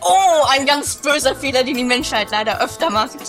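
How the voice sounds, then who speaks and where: A man playing a grumpy, banished guru in a comedy radio play, with a halting, dry, grumbling delivery. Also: very high-pitched, animated, a bit bright, soft background music, full character voice.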